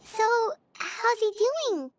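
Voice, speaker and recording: A woman's voice: extremely high pitch, expressive, slow pace, faint music behind, exaggerated voice. A woman voicing a small, curious, talkative fairy companion in a video game, exclamatory and inquisitive. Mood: curious, surprised.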